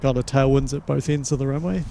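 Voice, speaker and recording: A man's voice: medium pitch, steady tone, fast talker. A man with a New Zealand accent explaining things in casual chat as a guest air traffic controller. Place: outdoors near a runway. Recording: clear outdoor urban and vehicle noise.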